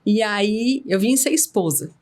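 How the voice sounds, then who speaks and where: A woman's voice: mid-range voice, lively intonation, a little slow, darkish tone. A woman in her forties from São Paulo, a veterinarian and business owner, frankly telling her story as a podcast guest. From São Paulo, Brazil. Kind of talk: casual interview.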